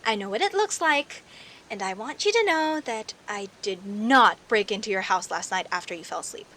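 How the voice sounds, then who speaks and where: A woman's voice: mid-range voice, moderate pace, rough recording. A woman playing a friendly, teasing young female werecat in a comedic audio roleplay, talking to herself expressively with hesitant stammers. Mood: sheepish, pleading. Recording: steady rain ambience noise behind voice. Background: rain ambience.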